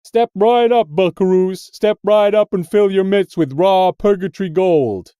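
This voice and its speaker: A man's voice: high voice, unhurried, warm tone, clear, resonant tone, dry recording, clean studio sound, voice pushed up. A man voicing a scrawny, shifty American street hustler from a short story, with slobbery, mouth-full diction and a whiny manner. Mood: hawking.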